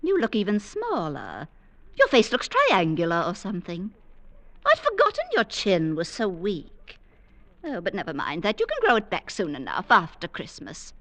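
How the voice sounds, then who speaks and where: An actress playing a bossy, belittling, managing wife, imperious, fussy and scolding, in old-time radio suspense style. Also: female voice, medium pitch, wildly swooping pitch, moderate pace, fairly bright tone. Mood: nagging, scornful.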